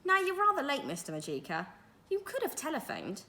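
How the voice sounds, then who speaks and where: A woman's voice: high voice, huge pitch swings, pushed and bright, voice pushed up, full character voice. A woman voicing a flustered, fussy male schoolteacher who snaps and stammers. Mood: snappish. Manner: stammering, brisk then faint.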